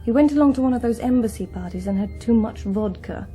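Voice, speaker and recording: An actress playing a breezy, defiant young British daughter in a radio drama, flippant, evasive and offhand. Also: female voice, a little high, fast talker, mellow, soft background music, lightly acted. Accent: British English. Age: young adult.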